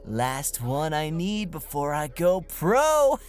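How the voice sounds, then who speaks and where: A man's voice: a little high, sing-song, slow. A man playing a laid-back, cocky young student with a casual, breezy drawl in a comedy audio drama. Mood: surprised, casual.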